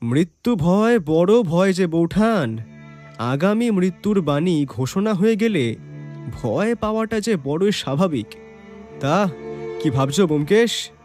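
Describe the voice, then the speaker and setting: A man narrating a detective story as the detective's companion, in an expressive dramatised scripted style. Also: high-pitched, measured pace, soft background music. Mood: sympathetic.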